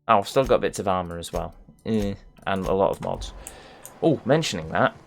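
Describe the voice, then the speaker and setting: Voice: deep voice, lively intonation, normal speed, vocal fry, faint music behind. A video game streamer giving casual, sluggish commentary, muttering to themselves with playful mock-formal turns of phrase. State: sluggish, not fully awake.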